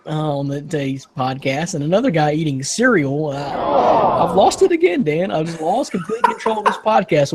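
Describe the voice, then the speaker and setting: A man with a husky voice hosting a casual sports podcast in a joking, teasing manner. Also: a little high. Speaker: podcast host.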